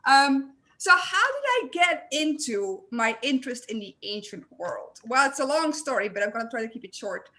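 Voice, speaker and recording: A woman's voice: high-pitched, sing-song, normal speed, few pauses, bright, forceful tone. A young woman from Belgium with a Belgian accent, introducing herself as host of an online panel, speaking in a friendly, eager way with many "um" fillers over a video call.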